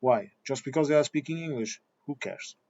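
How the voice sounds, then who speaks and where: A man's voice: medium pitch, expressive, a little fast, mellow, lo-fi sound. A man with a Portuguese accent, Portuguese his first language, delivering a blunt, combative monologue straight at his viewers. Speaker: video commentator. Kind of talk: ranting monologue.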